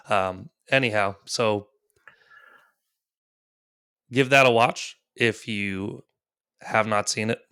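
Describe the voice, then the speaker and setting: Low-voiced guy hosting a game podcast, smooth and clean, dry close sound, lots of ums. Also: drawn-out words, pauses often, a bit bright, dry recording. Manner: rambling, filler-heavy, laughs often. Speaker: podcast host. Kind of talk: casual podcast chat.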